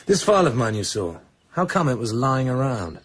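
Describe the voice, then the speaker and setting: An actor in a radio drama playing a cool, commanding British secret agent who asks dry, controlled questions. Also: male voice, mid-range voice, big pitch swings, moderate pace, husky, dry recording. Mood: calm, dry. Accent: British English.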